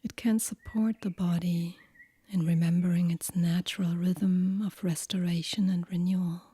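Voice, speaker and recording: Female meditation guide: very soft and dark in tone, whispery and breathy, unhurried, gentle, soothing delivery. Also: fairly low pitch, steady tone, no echo. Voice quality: breathy, hushed, near-whisper. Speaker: yoga nidra meditation guide.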